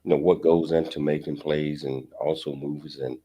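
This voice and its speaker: An American man hosting a casual talk show in a halting, rambling way with repeated words. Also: a bass voice, flat delivery, warm tone.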